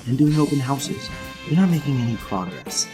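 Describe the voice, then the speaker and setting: A man reading a scripted ad voice-over with a punchy, lively delivery. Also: mid-range voice, normal speed. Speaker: ad narrator. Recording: clear guitar music underneath.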